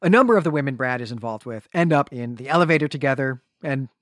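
A man's voice: mid-range voice, animated, quick pace, no room sound, clean studio sound. A man co-hosting a podcast, recapping a story conversationally and often checking in with a tag question. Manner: conversational, frequent "right?" tags. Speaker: podcast co-host. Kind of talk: casual book discussion and plot recap.

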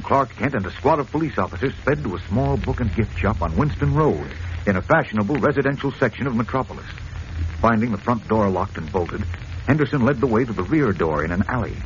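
A man's voice: medium pitch, expressive, brisk, lightly acted. A man narrating a 1940s radio adventure serial as its announcer, in a dramatic scripted style. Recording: muffled, noisy old radio recording.

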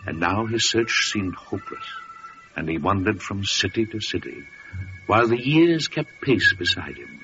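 A man's voice: on the low side, lively intonation, moderate pace, sharp tone. A man narrating a story solemnly in the style of old-time radio drama. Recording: muffled vintage radio recording with music.

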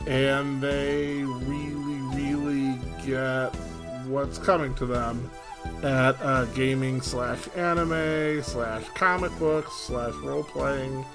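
A man's voice: mid-range voice, little pitch movement, slow pace. A man hosting a podcast as a publisher, giving an informal spoken introduction. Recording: music behind the voice.

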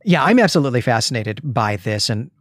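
A man's voice: mid-range voice, big pitch swings, brisk, fairly bright tone, no echo. Guy cohosting a book podcast, explains stuff in a chatty analytical way, really dry room sound. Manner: analytical, explanatory, often checks with "right?". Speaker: podcast co-host. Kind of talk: conversational literary discussion of a comic.

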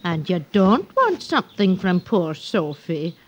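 A wheedling, sing-song old street seller. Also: female voice, fairly low pitch, very animated, a little slow. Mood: sly. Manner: folksy, cajoling, sing-song. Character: wheedling, sly, chattering old street duck seller woman. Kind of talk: old-time radio drama acting. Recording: muffled old radio recording.

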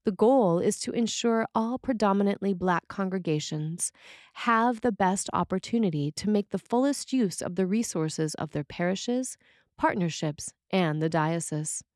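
A woman reading a scripted sermon in a measured, deliberate way with pauses between phrases. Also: medium pitch, darkish tone, no room sound, clean studio sound. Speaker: sermon reader.

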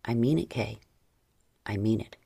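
A woman's voice: creaky, mellow, breathy edge, dry recording, exaggerated voice. A woman voicing a commanding, teasing adult male lover in an audiobook. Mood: stern. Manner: low, slow, dominant and teasing.

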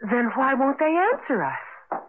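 An anxious young wife in a radio drama. She speaks with lively, swooping intonation and an uneasy, urging manner. The sound is narrow and noisy, like an old broadcast. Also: on the high side, a little slow. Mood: anxious, puzzled. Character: anxious young wife stranded in a deserted town.

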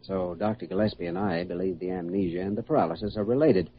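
An actor playing a calm, authoritative American doctor in a classic radio drama, speaking in a measured, reassuring way. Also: male voice, low-pitched, a little hiss or hum, natural voice. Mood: calm, explanatory. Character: calm, authoritative male doctor guiding a hypnotized patient. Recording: dull, lo-fi old radio recording.

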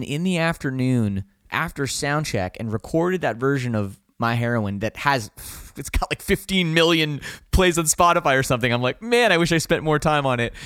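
A man's voice: medium pitch, a bit bright, no room sound, studio quality. A man, a band's singer, talking casually and frankly in an interview, often restarting his sentences. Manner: rambling, self-correcting, frank. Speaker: band vocalist guest.

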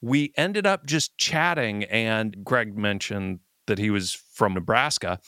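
Bright, clear middle-aged American man. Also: on the low side, lively intonation, takes it slowly, no echo, studio quality. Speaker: podcast co-host. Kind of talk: casual chat.

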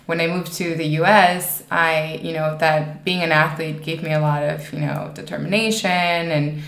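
A woman's voice: deep voice, fairly even intonation, normal speed, gravelly, fairly bright tone. A woman in her twenties from Mexico, telling personal stories as an interview guest in an emphatic, conversational way full of "you know". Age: 20s. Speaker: podcast guest. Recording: roomy, echoing sound.

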